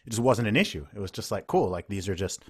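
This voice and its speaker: A man who grew up in Saudi Arabia, hosting a casual conversation and talking in a loose, animated way with mocking asides. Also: fairly low pitch, fast talker, husky.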